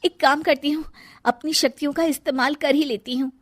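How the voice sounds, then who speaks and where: A woman's voice: high-pitched, steady tone, a little fast, no room sound, pitched above the performer's own voice, natural voice. A woman voicing a young shape-shifting snake woman who is a polite new bride, talking in a dutiful, easily flustered way. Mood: panicked.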